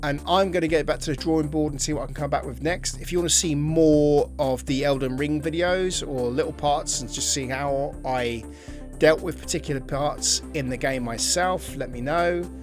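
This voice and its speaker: A man's voice: on the high side, normal speed, over music. A man hosting a gameplay video, thinking aloud in informal, casual commentary.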